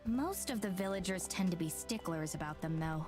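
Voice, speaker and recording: A woman's voice: fairly low pitch, animated, moderate pace, natural voice. An actress playing a self-assured, easygoing woman guide in a visual novel, speaking in a matter-of-fact way. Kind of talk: scripted game dialogue. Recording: game audio under background music.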